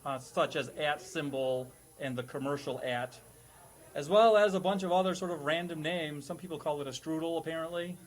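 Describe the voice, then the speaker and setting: A man with a slightly high voice plays teacher and quizmaster. He explains things in a wordy, nerdy way, keeping it casual and playful. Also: normal speed. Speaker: quizmaster host playing a teacher. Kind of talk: casual comedic quiz lesson.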